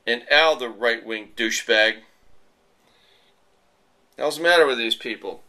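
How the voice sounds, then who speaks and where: A man's voice: medium pitch, unhurried, sharp tone. A middle-aged American man hosting an online commentary show, delivering an indignant, blunt, off-the-cuff rant to viewers.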